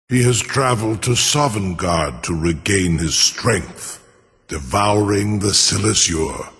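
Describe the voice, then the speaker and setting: An ancient dragon from a fantasy game, proud and cunning. The voice is low and crackles with gravel, wrapped in long cavernous reverb. Words are formal and grandiose, each one savored. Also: male voice, fairly bright tone, full character voice. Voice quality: deep, gravelly growl. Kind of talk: fantasy video game acting.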